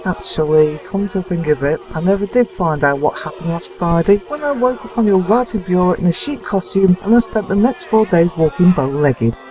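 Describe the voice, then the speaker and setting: A man's voice: sits high, animated, moderate pace, few pauses, darkish tone, faint music behind, low-quality recording. A man playing a put-upon, sardonic female sidekick in a comic audio drama. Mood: complaining.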